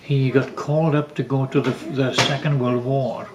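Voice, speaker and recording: An older man with a Welsh accent tells family stories casually, often halting to search for words and repeating phrases. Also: mid-range voice, steady tone, a crowd in the background. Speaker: father telling family stories. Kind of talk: casual reminiscing storytelling.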